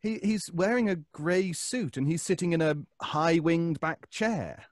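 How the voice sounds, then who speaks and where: A man's voice: on the high side, no room sound, clean studio sound, close to an everyday voice. An actor playing an anxious, hesitant, fussy man who stammers, in old-time radio suspense style. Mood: polite, hesitant. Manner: stammering, flustered, halting.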